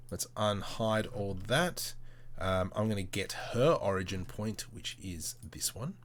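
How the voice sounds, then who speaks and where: Aussie guy doing a live tutorial stream, lowish voice, chatty and slangy, talks himself through each step. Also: lively intonation, measured pace, a little hiss or hum. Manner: informal, slangy, thinking aloud in short step-by-step phrases. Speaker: tutorial host, livestreamer. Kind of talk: casual live screen-share tutorial commentary.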